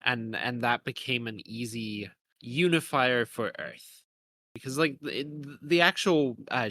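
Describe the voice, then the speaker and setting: A man's voice: medium pitch, sharp tone. A man co-hosting a podcast, discussing a video game with analytical, carefully built phrasing. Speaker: podcast co-host.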